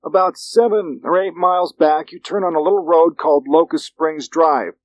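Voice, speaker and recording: Friendly small-town American store woman, read by a male narrator, raised pitch, plain and folksy. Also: fairly even intonation, normal speed, dry recording, natural voice. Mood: helpful.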